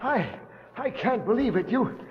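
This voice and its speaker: An actor playing a frail, grateful old professor held captive in an old radio drama, halting and shaken. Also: male voice, extremely high pitch, expressive, a little hiss or hum. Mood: shaken disbelief. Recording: dull, echoing old radio recording.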